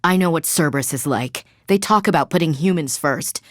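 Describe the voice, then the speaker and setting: A woman's voice: low voice, fairly quick, dry recording, clean studio sound, natural voice. An actress playing a blunt, tough young female marine soldier in a video game, loyal and direct. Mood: guarded. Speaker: soldier squadmate. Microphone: close studio mic.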